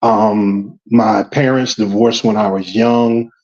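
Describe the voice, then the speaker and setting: A man's voice: fairly low pitch, steady tone, takes it slowly, darkish tone, highs cut off. A man from Georgia with a light Southern US accent, talking on a casual date in a rambling, hesitant way. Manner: rambling, hesitant, with many ums. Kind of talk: casual getting-to-know-you chat.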